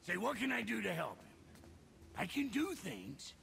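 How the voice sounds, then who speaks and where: An actor playing a blunt, foul-mouthed male dwarf blacksmith in a video game, with a gravelly voice. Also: high voice, wildly swooping pitch, moderate pace, sharp tone, full character voice. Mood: gruff. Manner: blunt, colorful curses.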